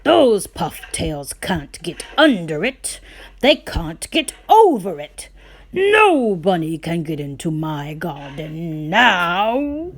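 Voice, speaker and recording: A woman's voice: lowish voice, very animated, takes it slowly, heavily acted. A woman voicing a stubborn, boastful man gardener from a storybook, huffing and exclaiming as he gloats. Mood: smug defiance.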